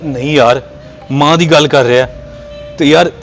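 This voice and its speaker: A man with a Pakistani Punjabi accent acting a soft-hearted, chatty friend in a casual amateur drama, speaking earnestly. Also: on the high side, fairly even intonation, normal speed, soft background music, lightly acted. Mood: compassionate.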